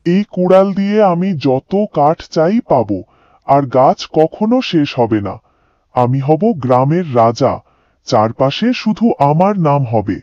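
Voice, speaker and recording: A man's voice: on the high side, lively intonation, measured pace, a little mellow, dry recording, highs cut off. A man playing a greedy, scheming village moneylender in a cartoon fairy tale, calculating and self-important. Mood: gloating.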